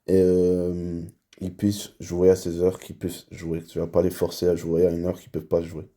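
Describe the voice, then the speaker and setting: Deep gravelly man, slow and flat. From Dominican Republic. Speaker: interviewer, hospital head. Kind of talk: in-character job interview.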